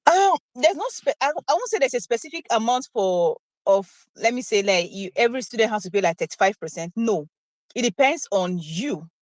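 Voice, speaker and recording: A woman's voice: on the high side, lively intonation, brisk, no room sound. Chatty woman with a dry close sound. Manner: chatty, explains with examples, frequent fillers. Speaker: interviewee, nursing graduate. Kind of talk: casual conversational Q&A.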